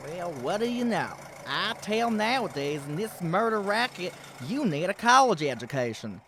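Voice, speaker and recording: An actor playing a cornered, slangy small-time crook in a radio crime drama. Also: male voice, sits high, sing-song, moderate pace, very noisy. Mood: grudgingly puzzled.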